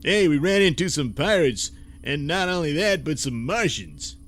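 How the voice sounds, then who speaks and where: A man's voice: a little high, very animated, normal speed, a bit bright, faint music behind. A man playing a brash, crude, put-upon space hauler, folksy and grumbling, in a comic sci-fi audio serial. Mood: exasperated.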